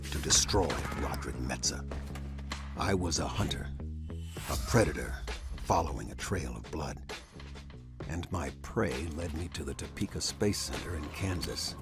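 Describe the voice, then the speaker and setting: An actor playing a brooding, vengeful American gunslinger hero, narrating a game story in a grim, folksy, hard-boiled way. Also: male voice, extremely low pitch, lively intonation, moderate pace, loud music behind the voice. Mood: burning resolve. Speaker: narrator and protagonist.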